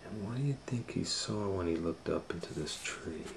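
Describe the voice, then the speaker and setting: A man's voice: low voice, lively intonation, normal speed, creaky, background noise. A man reading a picture book aloud to children as storytime host, with conversational asides to the listeners.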